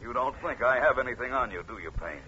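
A man acting a cool, determined detective in an old radio drama, with measured, menacing, dryly confident delivery. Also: medium pitch, expressive, natural voice. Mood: controlled anger. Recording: muffled, noisy old radio recording.

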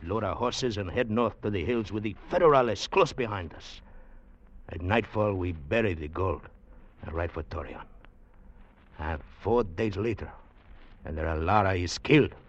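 An actor playing a secretive Mexican ex-revolutionary with a Mexican Spanish accent and halting English, in old-time radio drama style. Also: male voice, deep voice. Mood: intense, confiding. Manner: conspiratorial, halting non-native English phrasing. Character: secretive Mexican ex-revolutionary fugitive plotting gold recovery. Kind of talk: 1940s-50s radio drama acting. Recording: muffled vintage radio recording.

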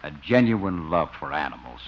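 An actor playing a courteous, earnest county inspector with a formal manner, in a 1950s radio comedy. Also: male voice, rumbling low, animated. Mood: sincere approval. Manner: formal, polite, measured. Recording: dull, faintly noisy old radio recording.

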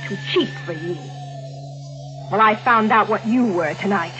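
Bitter, ruthless schemer for vintage radio melodrama. Brittle, intense, hugely animated pitch over prominent music. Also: female voice, muffled recording. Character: bitter, nervy, ruthless young woman accomplice. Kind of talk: 1940s radio mystery acting.

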